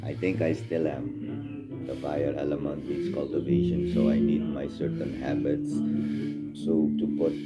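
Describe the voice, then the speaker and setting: A man with a Dutch accent speaks English in a very low voice, rambling through a philosophical spiritual talk. His delivery is blunt and emphatic. Loud plucked guitar music plays over him throughout. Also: little pitch movement, measured pace.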